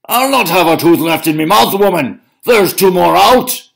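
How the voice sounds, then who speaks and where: A man's voice: a little high, sing-song, a little slow, fairly bright tone, voice pushed up, full character voice. A man voicing a huge, gluttonous bully giant who bellows and blusters. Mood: outraged bellowing.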